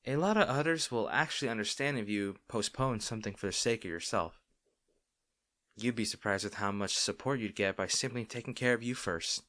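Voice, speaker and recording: Man acting as caring, firm boyfriend, bright voice. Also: fairly low pitch, dry recording, clean studio sound, lightly acted. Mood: gentle reassurance. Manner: soft, slow, tender, earnest with sighs. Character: caring, gently firm boyfriend soothing an overworked partner. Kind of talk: intimate boyfriend roleplay audio.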